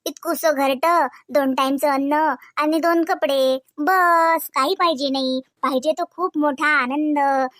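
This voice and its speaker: A woman plays a dreamy, chatty young housewife from a comedy cartoon. Her voice sits very high and girlish, with a Varhadi Marathi accent from Vidarbha. She is giggly and theatrical, in a close, dry sound. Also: fairly even intonation, takes it slowly, few pauses, fairly bright tone, no room sound. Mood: dramatic pleading.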